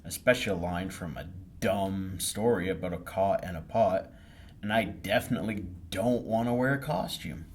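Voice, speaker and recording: A man voicing an anxious young schoolgirl who reads haltingly in a storybook reading. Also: sits low, slight background noise, natural voice. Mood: glum. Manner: halting, stammering when reading.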